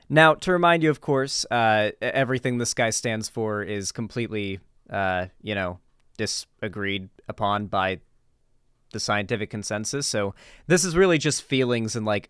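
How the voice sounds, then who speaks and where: A man's voice: on the low side, fairly bright tone, clear, resonant tone, dry recording, studio quality. A man giving casual, unscripted political commentary in a blunt, emphatic way with casual swearing.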